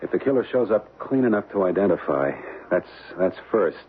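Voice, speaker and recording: An actor playing a wary young American newlywed husband in an old-time radio drama, husky, slangy, hesitant and wry. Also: male voice, low voice, lively intonation, fairly quick, slight background noise. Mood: dry, measured. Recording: tinny band-limited old radio broadcast.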